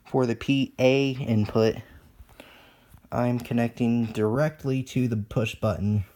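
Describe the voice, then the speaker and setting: A man giving an unscripted hands-on technical demonstration, explaining matter-of-factly in halting short phrases, recorded on a phone. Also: medium pitch, steady tone, dark tone. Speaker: hobbyist presenter of own electronics demo. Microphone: phone recording video.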